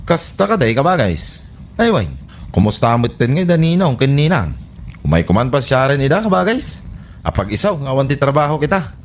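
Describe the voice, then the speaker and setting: A man's voice: mid-range voice, big pitch swings, a little fast, a little hiss or hum. A man with a Filipino accent playing a defensive, exasperated husband in a comedy radio drama, full of protests and exclamations. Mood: chatty. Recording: music behind the voice.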